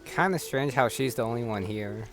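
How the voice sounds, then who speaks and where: A man's voice: medium pitch, normal speed, over music. A man commenting casually as he plays through a video game. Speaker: gamer commenting on playthrough.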